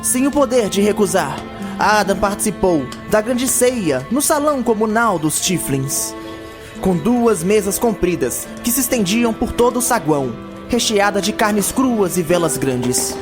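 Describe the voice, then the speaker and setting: High-pitched male fantasy storyteller over music. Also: very animated, measured pace. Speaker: narrator.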